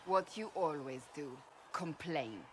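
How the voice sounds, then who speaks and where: A woman's voice: low voice, slow, slight background noise. An actress playing a stern, fair-minded but exasperated female warrior in a video game, blunt, clipped and firm. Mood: stern, curt. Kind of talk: video game character dialogue.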